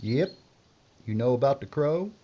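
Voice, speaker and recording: A man's voice: mid-range voice, measured pace, muffled recording, a little hiss or hum, natural voice. A man playing a teasing marshal. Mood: wry. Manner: teasing, folksy, lively. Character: wry frontier federal marshal, talkative. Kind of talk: audio drama acting.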